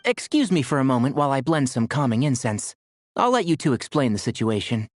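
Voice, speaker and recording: A man's voice: medium pitch, sing-song, a little fast, faint music behind, close to an everyday voice. A man playing a polite, caring young forest healer in a video game, composed and precise in speech. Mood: polite, reassuring. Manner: courteous, precise.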